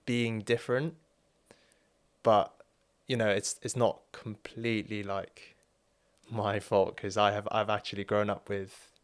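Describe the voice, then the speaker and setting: A man's voice: fairly low pitch, flat delivery, unhurried, clear, resonant tone. A young man, born in South Korea and raised in Wales, speaks candidly as a guest and talks hesitantly with many fillers. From born in South Korea, grew up in Wales. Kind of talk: candid personal interview.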